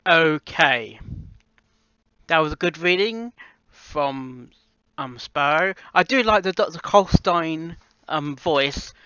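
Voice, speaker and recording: A man chatting casually and unscripted in a reaction vlog, halting and often repeating and rephrasing himself. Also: on the high side, lively intonation, bright, forceful tone, smooth, dry recording, dull audio. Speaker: amateur voice actor reviewing a fan fiction audio reading.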